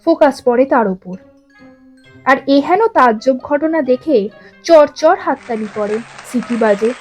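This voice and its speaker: Casting: female storyteller, high voice, leisurely expressive narration over soft music. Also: measured pace, a faint crowd.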